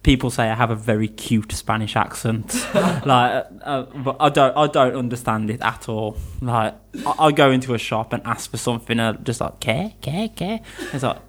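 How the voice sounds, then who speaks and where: A man's voice: mid-range voice, moderate pace, hardly any pauses. A young man from England, an English teacher, chatting casually in an interview, laughing easily and restarting his sentences.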